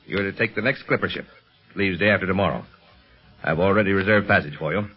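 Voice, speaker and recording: Deep, husky, commanding police chief. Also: male voice. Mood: calm, persuasive. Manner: measured, commanding, explanatory. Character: authoritative, steady male secret police chief. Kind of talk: 1930s radio acting. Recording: dull scratchy 1930s radio recording.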